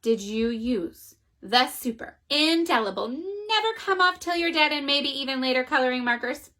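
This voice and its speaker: A woman voicing a frantic, fussy mother in a children's story, with panicked, shrill cries. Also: animated, normal speed, bright, forceful tone. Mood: scolding.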